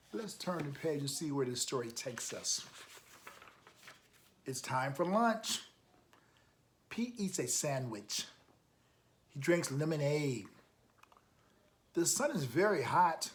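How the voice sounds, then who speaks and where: A man with a slightly high voice reads a children's picture book aloud at an unhurried pace. He is encouraging and emphatic, stepping out of the text to add remarks and questions for young listeners. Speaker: storytime reader, coach.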